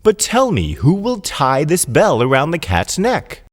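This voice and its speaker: A sensible old mouse elder from an animated fable, played by a man. He speaks in a measured, admonishing way with very wide swings of intonation. Long reverb surrounds the voice. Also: a little high, pitched above the performer's own voice, exaggerated voice. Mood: sceptical, sensible. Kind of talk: animated fable character voice in storybook narration.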